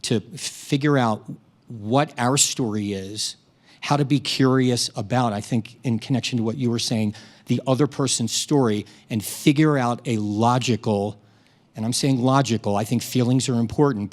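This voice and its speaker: A man who teaches speaks at a town hall with a mid-pitched voice. He talks in a measured, storytelling way and often restarts his phrases as he works toward a point. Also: darkish tone.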